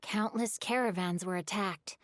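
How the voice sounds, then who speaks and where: A woman's voice: mid-range voice, a little slow, close to an everyday voice. A woman voicing a polite, earnest storyteller in an anime game, explaining old legends. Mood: earnest, informative.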